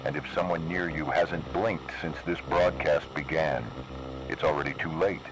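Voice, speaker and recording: A man voicing a cold, menacing emergency broadcast announcer in slow, measured, ominous narration. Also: very deep voice, fairly even intonation. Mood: official. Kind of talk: scripted analog horror narration. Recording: noisy analog video recording.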